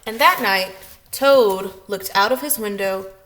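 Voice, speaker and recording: A woman's voice: medium pitch, measured pace, noisy background. A woman preschool teacher hosting story time, speaking directly to young children as she greets them and reads.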